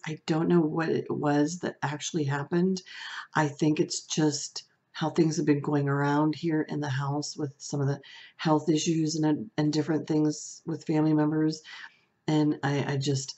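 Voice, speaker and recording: A middle-aged woman with a soft, comforting voice, vlogging to camera from a room at home, reflective and hesitant, with fillers and restarts. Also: low voice, lo-fi sound. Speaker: beauty vlogger. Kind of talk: casual talk to camera. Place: small room at home, indoors.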